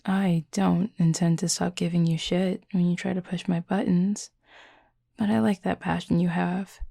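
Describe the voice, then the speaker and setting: Woman playing a flirty werewolf pack leader, low-pitched, heavy vocal fry, teasing drawl, confident, protective, intimate audio roleplay. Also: little pitch movement, normal speed, mellow, no echo, clean studio sound. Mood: fond, teasing. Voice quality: husky, breathy, gravelly.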